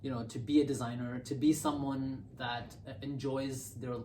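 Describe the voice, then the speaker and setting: A young man from Bangladesh, raised in Canada, talking as a podcast guest in a thoughtful, halting way and repeating words while he thinks. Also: mid-range voice, level tone, distant mic. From born in Bangladesh, raised in Canada. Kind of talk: casual conversational interview. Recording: roomy, off-mic, faint hiss.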